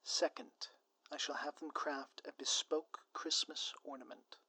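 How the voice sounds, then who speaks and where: A man's voice: on the high side, steady tone, a little slow, pushed and bright, slightly rough, no echo, heavily acted, poor audio. A man playing a grandiose, scheming supervillain in an audio roleplay, with florid, pompous, melodramatic delivery. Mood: theatrical, taunting. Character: grandiose theatrical male supervillain, lovesick and scheming. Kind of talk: scripted audio roleplay monologue.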